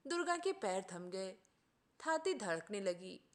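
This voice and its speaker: A woman reading a literary short story aloud as an expressive audiobook narrator. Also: mid-range voice, a little slow.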